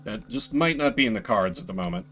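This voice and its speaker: A man's voice: on the low side, lively intonation, fast talker, fairly bright tone, soft background music. A man giving casual, rambling commentary as he streams a video game, with playful mock-grandiose asides. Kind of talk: casual gameplay commentary, voicing game text.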